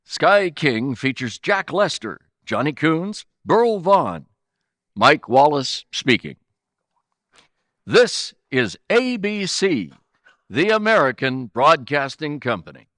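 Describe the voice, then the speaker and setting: A man doing a grand vintage radio announcer, dramatic and polished. His pitch is on the high side and very animated, the pace unhurried, the sound dry. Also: no room sound, full character voice. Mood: salesmanlike.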